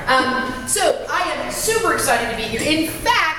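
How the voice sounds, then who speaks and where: A middle-aged woman from California giving an energetic, self-deprecating motivational talk to a live audience. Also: high-pitched, unhurried, a crowd in the background. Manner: energetic, self-deprecating, speaks about having a loud voice. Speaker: guest speaker. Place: meeting room with small audience. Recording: echoey room, speaker far from mic.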